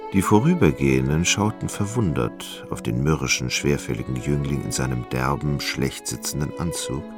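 A man with a very deep, creaky voice giving literary narration in a radio play.

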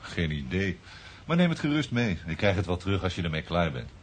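A man acting in a radio drama as a genial, obliging bookseller, with a friendly, chatty, expressive way of talking. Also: sits low, a little fast, slight background noise. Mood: helpful, interested.

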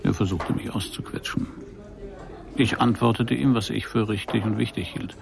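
An actor playing a world-weary private detective, narrating a noir radio drama in a dry, laconic way. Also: male voice, lowish voice, fairly even intonation, moderate pace, gravelly. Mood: wry, pensive.

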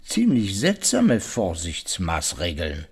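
An actor playing a shrewd, courteous detective in a radio crime drama, with formal, probing speech full of ponderous pauses and murmurs. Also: male voice, low-pitched, wildly swooping pitch, breathy edge, full character voice. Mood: inquisitive. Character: shrewd, courteous male detective questioning witnesses.

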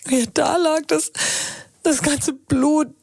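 An actress playing a troubled, earnest radio journalist in a naturalistic radio drama. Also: female voice, high-pitched, steady tone, slow pace, creaky, a little mellow, exaggerated voice. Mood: shaken.

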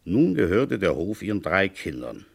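Seasoned police inspector, very low voice, husky, lively intonation, deliberate storyteller, radio crime drama. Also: male voice, full character voice. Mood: reflective, grave. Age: middle-aged. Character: seasoned village police inspector, narrating and questioning. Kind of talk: German radio crime drama acting and first-person narration.

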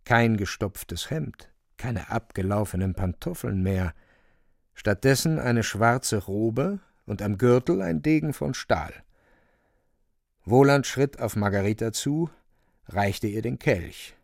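An actor narrating a dark fantastic radio play as a grave, watchful storyteller. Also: male voice, lowish voice, lively intonation, takes it slowly, gravelly, no room sound, clean studio sound, heavily acted. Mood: grave tension.

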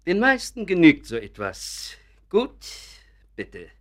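An actor playing a vain, touchy bookkeeper who fancies himself an artist in a German radio play, self-important, hesitant and insistent. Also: male voice, on the high side, huge pitch swings, takes it slowly, fairly bright tone, full character voice. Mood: aggrieved.

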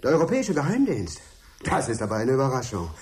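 An actor playing an inquisitive, defiant freelance science journalist in a radio drama, polite but questioning. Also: male voice, medium pitch, wildly swooping pitch, fast, darkish tone. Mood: defiant, puzzled. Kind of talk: German radio drama acting.

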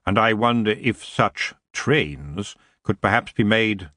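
A man voicing an ancient antlered elf king, smooth and unhurried, lazily polite with a menacing edge. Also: lowish voice, lively intonation, a bit bright, dry recording, studio quality. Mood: languid. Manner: lazy, drawn-out, coolly polite. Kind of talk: audiobook character voice.

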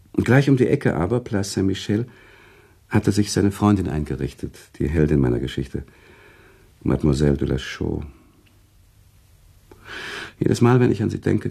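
An actor playing a worldly, sentimental male storyteller in a radio drama, teasing and emotional, with dramatic pauses and expressive swells. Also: full character voice. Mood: wistful. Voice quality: husky, gravelly low voice. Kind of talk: German radio drama acting, conversational storytelling.

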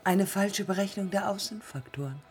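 An actress playing a proud, embittered dead woman aviator in a poetic radio play, speaking in terse, measured fragments. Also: mid-range voice, soft background music, lightly acted. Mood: resolute, reflective. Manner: measured, terse, fragmented phrasing with deliberate pauses.

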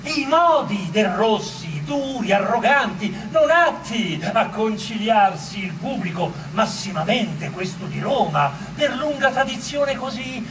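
A man acting as a fussy commentator in a historical drama, speaking in a measured, mannered way. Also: high-pitched, big pitch swings, music in the background. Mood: disapproving. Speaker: actor. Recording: echoey, distant, noisy recording.

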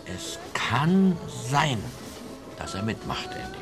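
A man's voice: medium pitch, huge pitch swings, slow pace, over music. An actor playing an evasive tailor turned informant in a German radio play, telling his story in a hesitant, ingratiating, roundabout way. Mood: eager storytelling.

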